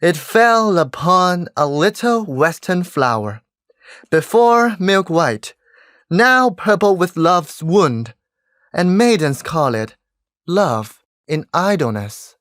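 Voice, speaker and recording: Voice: mid-range voice, animated, takes it slowly, fairly bright tone, no echo, studio quality. An actor playing a lofty, commanding fairy monarch who tells tales in a theatrical stage-verse manner. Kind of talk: Shakespearean verse stage acting.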